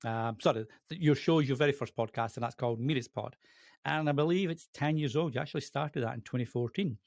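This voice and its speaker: A man's voice: medium pitch, quick pace, gravelly, a little mellow, no echo. Casual male podcast host, dry sound. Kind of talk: casual conversational interviewing.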